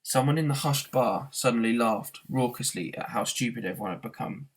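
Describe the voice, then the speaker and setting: A man reading a novel aloud as an expressive narrator, in the way of a teacher reading to a class. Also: medium pitch, brisk.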